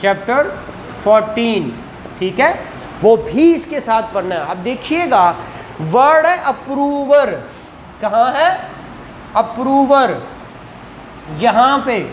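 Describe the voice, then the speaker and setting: A man from Pakistan giving a law lecture, who asks questions and answers them himself and mixes in English legal terms. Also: extremely high pitch. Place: room with a whiteboard. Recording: roomy echo with faint background noise.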